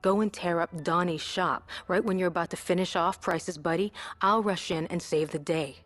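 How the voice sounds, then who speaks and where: A woman's voice: on the low side, fairly even intonation, moderate pace, a bit bright, soft background music. A woman playing a tough, brash street racer in a video game, assertive and wry. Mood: scheming, brisk. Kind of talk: video game cutscene acting.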